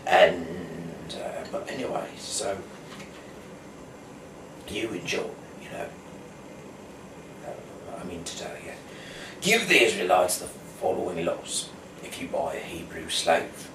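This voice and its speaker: A man from the UK who speaks haltingly and stumbles over words as an amateur host and reader. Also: deep voice. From United Kingdom. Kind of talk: casual rambling talk, then reading scripture aloud. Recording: echoey room, distant mic, clear background noise.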